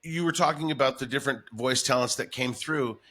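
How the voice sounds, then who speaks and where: Male podcast host, lower-mid pitch, very bright and punchy, casual conversational delivery. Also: brisk. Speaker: podcast host and voiceover performer. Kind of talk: casual chat.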